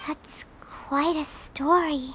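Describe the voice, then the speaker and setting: A woman voicing a tiny, childlike fairy companion in a video game, naive and earnest, with a squeaky, cartoonish delivery. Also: steady tone, slow, pushed and bright, slight background noise, full character voice. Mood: puzzled. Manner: hesitant, refers to self by name. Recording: music clearly behind the voice.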